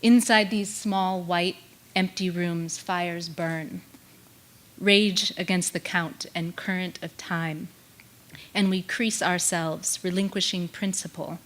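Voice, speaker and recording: A woman reads poetry solemnly on a stage. Her voice sits a little low, and she takes each phrase very slowly and deliberately into a stand microphone. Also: steady tone, fairly bright tone. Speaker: literary event reader. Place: on stage in a theater.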